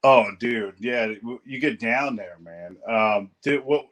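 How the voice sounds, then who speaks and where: A man's voice: mid-range voice, slow, fairly bright tone. A man from Southern California hosting a podcast over a video call, talking in a laid-back, slangy way with lots of "dude.". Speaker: podcast host.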